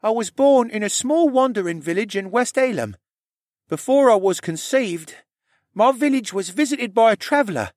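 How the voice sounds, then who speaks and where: A man voicing an anxious, tearful young stable boy in an audiobook, with a rough, halting, stammering and pleading delivery. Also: high voice, lively intonation, darkish tone, no room sound, studio quality, voice pushed up. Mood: hesitant, confiding. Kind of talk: audiobook character acting.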